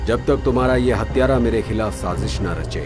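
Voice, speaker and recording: A man's voice: mid-range voice, fairly quick, music in the background, natural voice. A man voicing a vengeful, commanding monster overlord in a dubbed cartoon, imperious and threatening. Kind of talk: dubbed cartoon villain acting.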